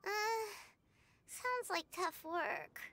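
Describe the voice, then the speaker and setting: A woman voicing a tiny, curious, candid childlike companion in an anime-style video game, with a squeaky, plain-spoken delivery. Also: lively intonation, very slow, bright, forceful tone, a little hiss or hum, heavily acted. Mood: hesitant, curious.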